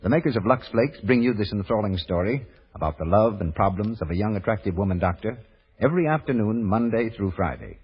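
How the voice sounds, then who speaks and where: A man's voice: lowish voice, lively intonation, husky. An American man announcing formally from a script in 1930s network radio style. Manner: formal, measured presentation. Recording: muffled 1930s radio broadcast with long reverb.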